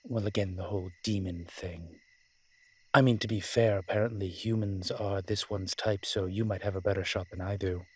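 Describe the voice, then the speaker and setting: A man acting as a wry, flirtatious incubus demon in a roleplay audio drama, teasing and sardonic, with a husky, breathy voice. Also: low-pitched, steady tone, moderate pace.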